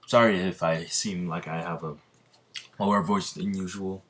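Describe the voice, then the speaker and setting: A man's voice: sits low, steady tone, moderate pace, fairly bright tone, a little hiss or hum. A sleepy young man casually making up a fan story, rambling with lots of ums and restarts. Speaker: YouTuber narrating a fan story.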